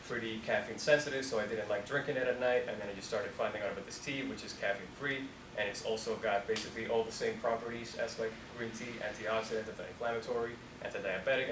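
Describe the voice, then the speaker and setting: Young man rambling in an echoey room. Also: lowish voice. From Colombia. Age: 20s. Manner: relaxed, rambling, heavy use of "like". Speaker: company founder speaking to students. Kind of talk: casual talk to an audience. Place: university room. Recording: echoey room, distant mic, noisy.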